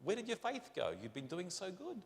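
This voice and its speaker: A man voicing Jesus as a grown man, reproaching gently in an earnest, coaxing way that rises with emphasis. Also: high voice, huge pitch swings, brisk, in a large hall, a little hiss or hum, pitched above the performer's own voice, heavily acted. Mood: gently reproachful.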